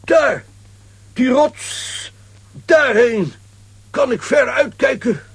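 Very high, husky comic oddball. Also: male voice, lively intonation, very slow, no echo, heavily acted. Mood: halting. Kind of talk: children's audio drama acting.

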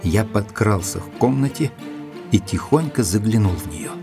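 An actor playing an observant, loyal gentleman who reports a mystery in a measured, formal, deliberate way, as in an audio drama. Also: on the low side, lively intonation. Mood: grave, suspenseful. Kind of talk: scripted audio drama narration, reading out a letter. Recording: studio recording with music underneath.

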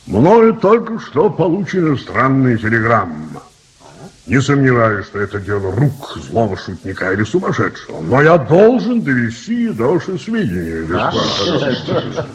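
A man's voice: mid-range voice, wildly swooping pitch, moderate pace, slightly rough, exaggerated voice. A man acting a formal, agitated chairman of an international conference in a radio play, emphatic and official. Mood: solemn, official. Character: agitated male conference chairman calling delegates to order.

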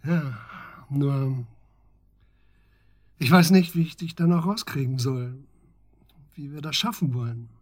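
Husky, earnest investigator. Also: male voice, mid-range voice, slow pace, pauses often, darkish tone, no room sound, clean studio sound. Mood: pressing, worried. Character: grave, pressing male investigator confronting his friend. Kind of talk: German radio drama acting.

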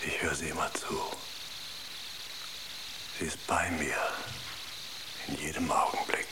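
Enraptured male poet: low, hushed, reverent, on a noisy recording. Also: flat delivery, takes it slowly. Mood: hushed rapture. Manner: hushed, reverent, often near whisper. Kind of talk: radio drama acting.

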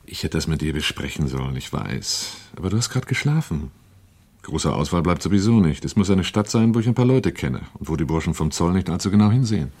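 An actor playing a cool, cautious arms smuggler on the run in a radio drama, with clipped, controlled delivery. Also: male voice, low-pitched, lively intonation, brisk, darkish tone, husky, no echo, heavily acted. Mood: brooding, controlled. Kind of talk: German radio drama dialogue and first-person narration.

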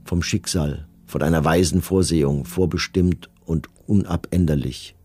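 An actor playing a remorseful, self-justifying condemned Nazi camp commandant, reading grave, measured memoirs in a radio documentary. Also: male voice, a bass voice, steady tone, warm tone, husky, heavily acted. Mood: fatalistic, remorseful.

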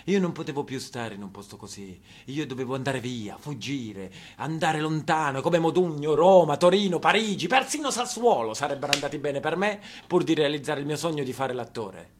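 A man's voice: on the high side, expressive, normal speed, few pauses, a little hiss or hum. A man from Apulia with a southern Italian accent, delivering a theatrical monologue in an emphatic, histrionic manner, repeating words for effect. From Apulia, southern Italy. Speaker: stage actor and monologist.

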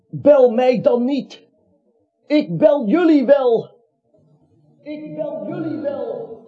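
An irritable dying man in an absurd radio comedy, wry to the end. The voice is very raspy and mellow, recorded dull and lo-fi. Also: very high-pitched, exaggerated voice. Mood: feverish, raving. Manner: testy, wry. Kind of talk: absurd comic radio play acting.

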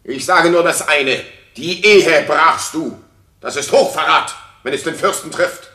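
Jealous tyrant king, slow, biting declamation, piercingly forceful, classical radio drama. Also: fairly high pitch, echoey room. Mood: furious accusation. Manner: declamatory, scornful, cutting. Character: jealous, raging king accusing his wife. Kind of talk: classical German radio play stage acting.

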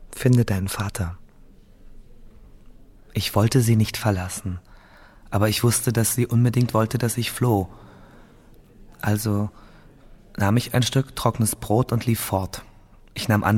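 A man's voice: on the low side, darkish tone. An actor playing a grieving seventeen-year-old boy fleeing war, telling his story in a subdued, reflective radio drama monologue.

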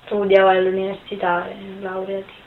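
A woman's voice: medium pitch, monotone, a little fast. A woman in her early twenties giving casual answers in an interview. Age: 20s. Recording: roomy, phone-like sound with faint noise.

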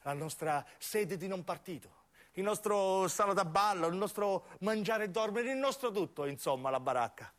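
Animated southern Italian man, theatrical storyteller. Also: sits high, normal speed. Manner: dramatic, shifting between intimate narration and acted dialogue. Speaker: stage actor and narrator. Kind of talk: theatrical radio monologue storytelling.

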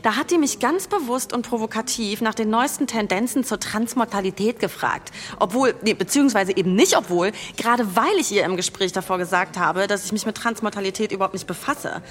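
A woman's voice: medium pitch, brisk, hardly any pauses, natural voice. An actress playing an indignant, spiteful woman academic who confides her grievances in rambling, self-correcting talk in a radio play. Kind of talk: naturalistic German radio play monologue. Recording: long hall reverb.

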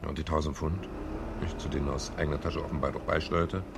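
An unflappable private detective in a radio crime play. The voice is deep and creaky, with a dry, laconic delivery. The old recording has clear background noise. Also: male voice, steady tone, fast. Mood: dry, pondering. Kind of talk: German radio crime drama acting, partly narration. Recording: noisy 1970s radio drama recording.

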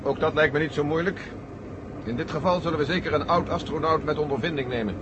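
An actor playing a haughty, composed army general in a radio play, with a husky voice and a curt, condescending way of talking. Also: male voice, a little high, quick pace, muffled recording, noisy background, lightly acted. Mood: cool, compliant. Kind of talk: 1970 radio play acting.

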